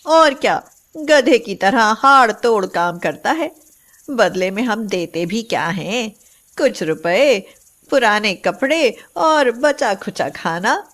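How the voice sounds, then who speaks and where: A woman's voice: fairly high pitch, expressive, takes it slowly, a bit bright. A woman playing a rich farmer's greedy wife, mocking, complaining and smug, in a moral story cartoon. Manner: complaining, gloating.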